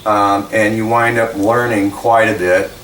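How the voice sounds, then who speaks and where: Low-pitched guy in his 50s chatting in his home shop, faint hum behind, lots of ums. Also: measured pace, rough recording. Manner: relaxed, rambling, many ums and restarts. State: recovering voice after flu. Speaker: hobby machinist. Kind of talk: casual workshop vlog. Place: home workshop, indoors.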